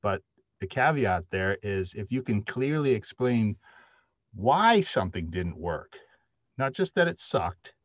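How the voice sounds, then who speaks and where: A middle-aged man explaining his views in a measured way on a casual panel, over a dull video call. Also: on the low side, very animated, no echo. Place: indoor room at home.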